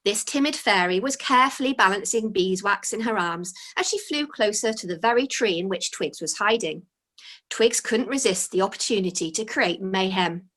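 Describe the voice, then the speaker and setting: A woman reading her own children's story aloud as its author, in an expressive storytelling style. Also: medium pitch, normal speed.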